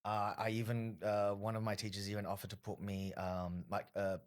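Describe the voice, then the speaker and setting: A man's voice: lowish voice, completely flat delivery, normal speed. A man, a comedian guest telling long stories in a casual podcast interview, with many fillers. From Australia. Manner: rambling storyteller, frequent fillers.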